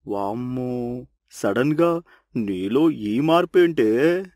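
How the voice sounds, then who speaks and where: A grumbling husband in a cartoon family tale, voiced by a man. He is blunt, teasing and quick to complain. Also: medium pitch, expressive, darkish tone. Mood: teasing complaint.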